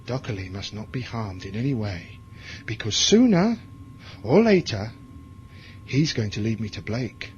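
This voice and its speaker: An actor playing a hard, bitter military commander in a science fiction audio drama, with a curt, commanding way of talking. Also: male voice, on the low side, animated, measured pace, long pauses, a little hiss or hum. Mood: commanding, grim. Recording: dull lo-fi audio drama recording, music behind.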